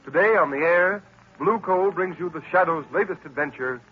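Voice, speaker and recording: A man announcing and narrating in a booming, emphatic 1940s radio style. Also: moderate pace, breathy edge, highs cut off. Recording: old radio recording with music.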